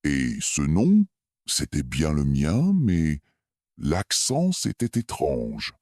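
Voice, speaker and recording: Low slow guy narrating a creepy storytime, suspenseful, really dry close sound. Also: wildly swooping pitch, darkish tone, no room sound. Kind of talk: scripted first-person scary story narration.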